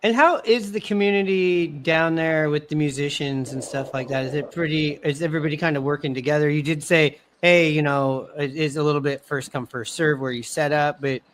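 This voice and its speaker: A man's voice: a little high, steady tone, fairly quick, few pauses, slight background noise. A man hosting a podcast, interviewing in a casual conversational way and rephrasing his rambling questions as he goes. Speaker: podcast host.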